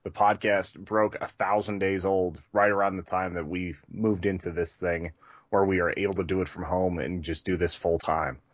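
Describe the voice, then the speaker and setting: Man, deep pitch, dry sound, casual podcast chat, enthusiastic co-host. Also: little pitch movement, normal speed, no room sound.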